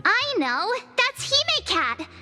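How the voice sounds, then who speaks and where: A woman playing a cute, eager teenage girl adventurer in an anime game, perky and expressive. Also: very high voice, slow pace, full character voice. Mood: excited, curious. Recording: music behind the voice.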